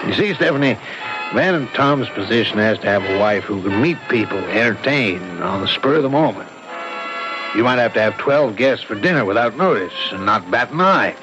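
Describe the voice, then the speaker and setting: Man acting a self-assured senior executive, lowish voice, radio mystery, music behind. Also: animated, a little fast, close to an everyday voice. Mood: firm, persuasive. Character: smooth, self-assured male company boss, older widower. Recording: dull vintage radio broadcast with music.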